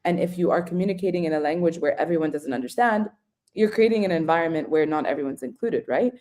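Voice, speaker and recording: A woman's voice: sits low, fast talker, a little mellow. A woman explaining ideas on a webinar, often checking in with listeners. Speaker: webinar guest.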